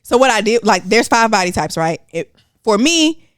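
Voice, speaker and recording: A woman with a Southern US accent co-hosting a podcast, talking in an animated, slangy, cursing way. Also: a little high, moderate pace, smooth, no echo, studio quality. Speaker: podcast co-host. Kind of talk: casual chat.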